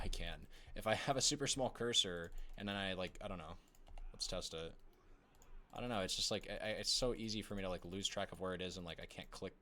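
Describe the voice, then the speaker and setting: Young guy with a low voice rambling to his viewers, lots of uhs and likes, kinda whiny. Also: a bit bright, a little hiss or hum. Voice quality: self-described whiny voice. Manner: rambling, apologetic, many fillers and restarts. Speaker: gaming video creator. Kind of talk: casual talk to camera while playing.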